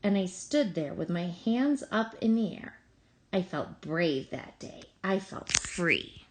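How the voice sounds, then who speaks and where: Female storybook reader, slow and measured, with animated pitch movement. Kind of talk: expressive children's story read-aloud.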